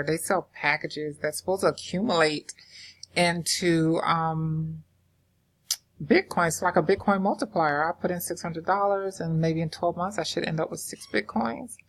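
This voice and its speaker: Low-voiced Southern woman, rambling casually. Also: fairly even intonation, moderate pace. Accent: American Southern. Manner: rambling, informal, many fillers and restarts. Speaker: crypto investing vlogger. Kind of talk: casual screen-share walkthrough. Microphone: desktop microphone.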